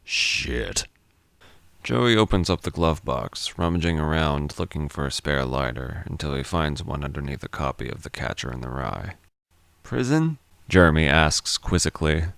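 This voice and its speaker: Male storyteller, extremely low voice, resonant, scripted fiction narration, dry close sound. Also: normal speed, gravelly, few pauses, fairly bright tone, smooth, dry recording.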